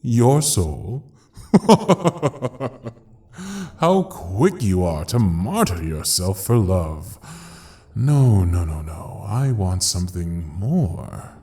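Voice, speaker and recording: Deep, slow, mocking demon lord. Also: male voice, sing-song, mellow, exaggerated voice. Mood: teasing. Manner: theatrical, drawn-out, teasing, menacing. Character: ancient mocking male demon lord of hell, amused, cruel. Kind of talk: fantasy audio roleplay acting.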